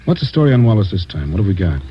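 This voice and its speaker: An actor playing a businesslike police captain with a dry, laconic, conversational manner in an old radio crime drama. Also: male voice, low-pitched, very animated, very fast, slightly rough, full character voice. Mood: dry, interested. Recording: muffled, hissy old radio broadcast.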